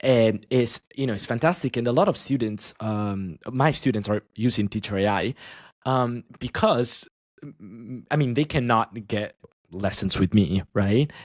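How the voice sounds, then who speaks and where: A man guesting on a podcast as an online language teacher, chatting casually and talking in quick bursts with frequent restarts. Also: medium pitch, no room sound, clean studio sound.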